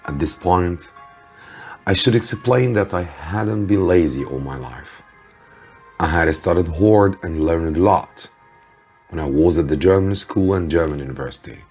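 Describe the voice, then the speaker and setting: A man, an Arabic speaker, reading a story to students as a teacher, with deliberate theatrical emphasis. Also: sits low, a little mellow, husky, soft background music. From Egypt. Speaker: English teacher.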